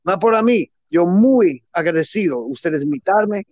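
A man with a high voice speaks enthusiastically in broken, halting Spanish that is not his first language. The sound is dry and a little dull, with no room echo. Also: animated. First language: not Spanish; Spanish is his second or third language. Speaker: guest, cigar businessman. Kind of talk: closing remarks in a casual interview.

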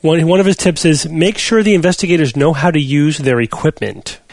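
A man hosting a podcast and leading a casual panel discussion in a measured, explanatory way. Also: a little high, dry recording. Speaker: podcast host.

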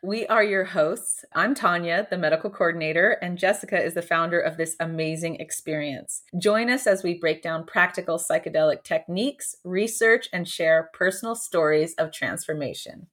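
A woman's voice: fairly low pitch, normal speed, hardly any pauses, fairly bright tone. A woman hosting a podcast, introducing guests and asking questions in an enthusiastic, gushing way. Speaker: podcast host, medical coordinator. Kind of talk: scripted intro then interview questions.

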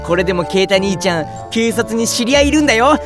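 A man acting an ordinary, excitable young big-brother type in a Japanese audio drama, chatty and comically flustered, with first-person narration. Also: high voice, fast, far from the mic, over music. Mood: upbeat reassuring.

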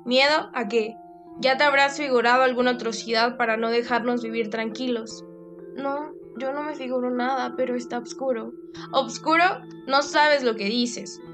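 A woman's voice: fairly high pitch, steady tone, moderate pace, music in the background, lightly acted. A woman voicing an impatient mother who answers sharply and dismissively. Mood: irritated. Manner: sharp, scolding.

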